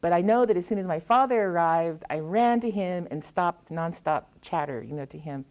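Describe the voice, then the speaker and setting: American woman poet with a lowish voice. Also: lively intonation, moderate pace, a little mellow. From US Pacific Northwest. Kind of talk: anecdotal talk and poetry reading to an audience.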